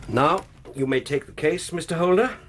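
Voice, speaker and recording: A man's voice: fairly high pitch, normal speed, slight background noise, lightly acted. Radio drama part: male actor as aristocratic client, imperious, formal courtesy, faint background ambience. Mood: cool, courteous.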